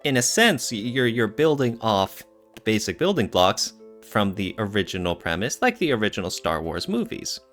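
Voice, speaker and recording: A man's voice: lowish voice, big pitch swings, moderate pace, a bit bright, faint music behind. A man co-hosting a podcast, explaining things in a casual, thinking-aloud way. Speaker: podcast co-host.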